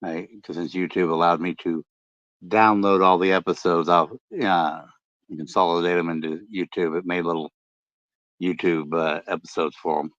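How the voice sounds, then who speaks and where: Deep-voiced man, rambling late-night chat. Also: level tone, normal speed, fairly bright tone, dry recording. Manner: rambling, many fillers and restarts. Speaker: podcast host and ham radio vlogger. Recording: dull lo-fi dry sound.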